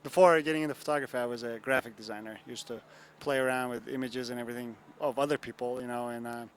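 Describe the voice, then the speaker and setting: A man's voice: mid-range voice, steady tone, fast. Man from turkey, rambling answers, lots of fillers, faint room noise behind. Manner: rambling, many fillers and "you know what I'm saying". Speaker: photographer guest. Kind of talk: casual interview answers. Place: bar and grill.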